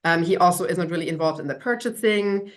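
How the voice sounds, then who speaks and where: A woman's voice: lowish voice, quick pace. A woman from Germany with a German accent, answering conversationally as a business-owner guest in an interview.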